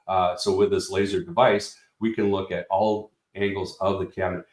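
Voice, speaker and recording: A man's voice: deep voice, little pitch movement, moderate pace, echoey room. A man representing a product company, explaining speaker design in a casual technical walkthrough with many fillers and restarts.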